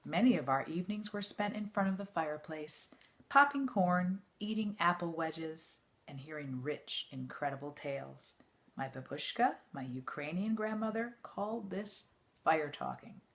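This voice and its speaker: A woman reading an autobiography aloud like a teacher to students, in a measured, expressive storytelling way. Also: fairly low pitch.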